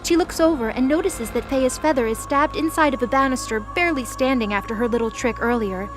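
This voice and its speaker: High-pitched woman narrating an audio drama. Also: fairly quick.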